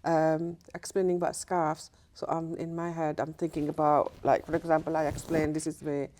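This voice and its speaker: A woman from Punjab in Pakistan, whose first language is Punjabi, speaking as a podcast guest in a careful, halting way with many fillers. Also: deep voice, level tone, dark tone, a little hiss or hum. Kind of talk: casual conversation.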